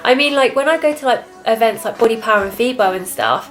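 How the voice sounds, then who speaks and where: A young woman with a British accent and a soft, quiet voice, chatting candidly and a little reservedly as a fitness vlogger. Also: on the high side, lively intonation, fast talker, faint music behind. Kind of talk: casual confessional chat to camera.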